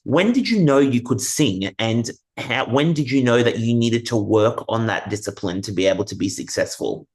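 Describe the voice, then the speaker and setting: A man's voice: mid-range voice, lively intonation, moderate pace, few pauses, darkish tone. A man hosting a conversational interview, earnestly advising listeners and repeating his points. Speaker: interview host.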